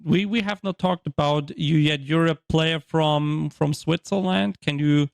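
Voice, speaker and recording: A man's voice: on the high side, fairly even intonation, unhurried, creaky, dry recording. Teasing male interviewer, dry close sound. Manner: teasing, jokey. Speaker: interviewer, tournament host. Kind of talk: casual video-call interview.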